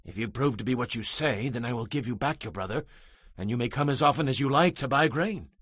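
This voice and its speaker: A man plays a humble, anxious man of Canaan in an audio Bible drama. His male voice is bright and very animated, with earnest pleading in it. The recording is dry. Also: mid-range voice, brisk, breathy edge, no echo. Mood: alarmed, earnest.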